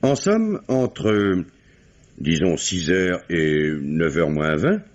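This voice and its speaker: A measured police commissioner. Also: male voice, sits low, sing-song, exaggerated voice. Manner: measured, questioning, authoritative. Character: calm, probing police commissioner. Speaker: police inspector. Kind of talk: 1950s radio drama acting. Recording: dull old radio broadcast with faint hiss.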